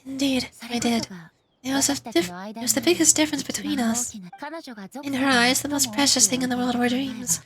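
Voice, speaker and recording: A grave, composed female ruler in a video game , put on by a woman streamer. Her high voice is formal and reflective, reading out measured lines over a busy background of voices. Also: crowd noise, natural voice. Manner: formal, measured, occasional misreadings. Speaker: VTuber streamer. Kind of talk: reading game dialogue aloud.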